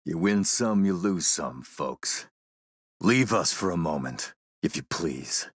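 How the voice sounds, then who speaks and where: A man playing a suave video game warlord, coolly polite and mocking, with a measured, sardonic menace. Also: low voice, lively intonation, husky, no echo, studio quality, full character voice. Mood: mocking, controlled. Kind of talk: video game villain acting.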